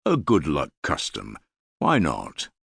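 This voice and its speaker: A man's voice: rumbling low, wildly swooping pitch, takes it slowly, a bit bright, dry recording, full character voice. A man voicing a self-assured Norse earl in an audiobook, with a dry, amiable irony. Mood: dryly ironic. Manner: dry, amiable, gravely ironic. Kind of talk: audiobook character dialogue.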